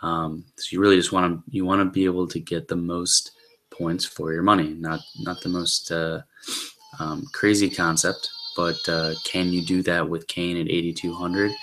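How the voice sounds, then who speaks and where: A man in his thirties, a little hoarse from being sick, giving a casual solo fantasy football rundown into a webcam in a small room, with lots of fillers and throat clearing. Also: low voice, level tone, moderate pace, fairly bright tone, a little hiss or hum. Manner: rambling with many fillers and restarts. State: slightly hoarse from illness. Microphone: webcam at desk.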